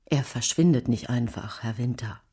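Low, husky, anxious wife. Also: female voice, moderate pace, warm tone. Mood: worried, guarded. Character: anxious, guarded wife of a missing man. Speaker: actress in a radio drama. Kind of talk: German radio crime drama acting.

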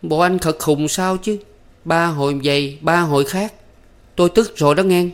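A pert young servant woman from a novel, acted out by a male reader. The voice is lifted high, with a southern Vietnamese accent. The talk is sassy, teasing and scolding. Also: lively intonation, normal speed, lightly acted. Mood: exasperated.

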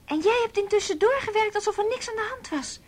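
An actress in a radio play playing a nosy, outspoken friend who questions insistently and gets indignant. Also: female voice, very high-pitched, animated, quick pace. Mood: indignant, incredulous. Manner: probing, insistent questioning with exclamations. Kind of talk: naturalistic Dutch radio play acting.

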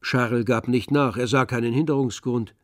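A man giving scripted literary narration in a radio drama, in a measured, composed manner. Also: medium pitch, fairly even intonation, no room sound, clean studio sound.

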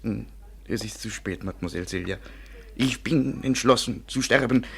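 A husky-voiced heartbroken French waiter in an old radio play. He laments with theatrical despair and dramatic pauses, every phrase a small tragedy. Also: on the low side. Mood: mournful, confused. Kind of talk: 1950s German radio play acting.

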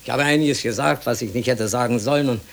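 An actor playing a despairing middle-aged unemployed man who pleads haltingly, in a German radio play. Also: mid-range voice, vocal fry, close to an everyday voice. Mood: bitter resignation.

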